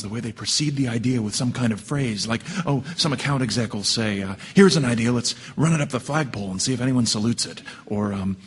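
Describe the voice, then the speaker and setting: A man's voice: medium pitch, slight background noise, close to an everyday voice. An actor playing an affable, flighty advertising man in a radio drama, rambling and glib.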